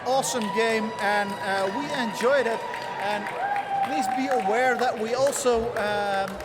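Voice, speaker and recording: A man's voice: high voice, unhurried, over loud music. A man commentating live on a roller derby game, hesitant with many fillers. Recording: loud crowd noise. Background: cheering crowd.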